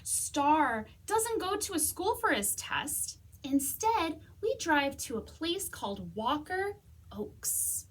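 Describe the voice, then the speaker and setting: A woman's voice: sits high, big pitch swings, slow pace. A woman hosting a children's storytime, reading aloud expressively and speaking to young listeners. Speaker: library storytime host.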